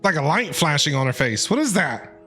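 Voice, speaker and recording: A male game streamer gives casual running commentary. His voice is high and bright with very lively pitch movement, chatty in tone, over faint game music. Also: brisk. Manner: chatty, reacts aloud.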